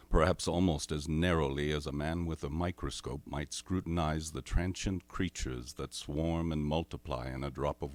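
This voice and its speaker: A man playing a grave narrator of an alien invasion tale in a radio drama, solemn, measured and ominous. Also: extremely low pitch, no echo. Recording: dry close recording with music behind.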